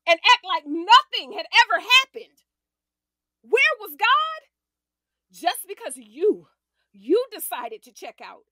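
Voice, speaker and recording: Actress as hurt ex wife lawyer in a radio play, high bright voice, fierce n emphatic, full of faith. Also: female voice, no room sound, heavily acted. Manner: emphatic, deliberate repetition. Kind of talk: dramatic audio drama acting.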